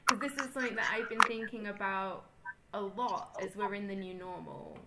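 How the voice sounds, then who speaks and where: A woman's voice: medium pitch, fairly even intonation, moderate pace. A woman in her thirties hosting a video call panel, chatty and full of fillers. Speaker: festival host moderating panel. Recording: echoey room with faint noise.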